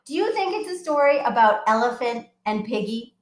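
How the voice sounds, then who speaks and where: Woman, middle-aged, children's librarian at home; high-ish, sing-song storytime delivery, roomy echo. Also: very animated, normal speed. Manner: bright, sing-song, addressing small children. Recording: echoey room.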